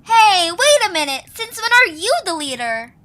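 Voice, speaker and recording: A sweet young girlish adventurer voiced by a woman in an audio drama, extremely high and bright. She is mild and encouraging, a little timid, with a lilting range. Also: sing-song, moderate pace, full character voice. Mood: challenging, unsure. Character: sweet, timid young female creature, gently optimistic. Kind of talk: amateur audio drama acting.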